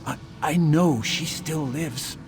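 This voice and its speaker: An actor playing the fading spirit of an ancient saint in a video game, grave, solemn and noble. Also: male voice, on the high side, takes it slowly, music in the background. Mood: solemn, weary.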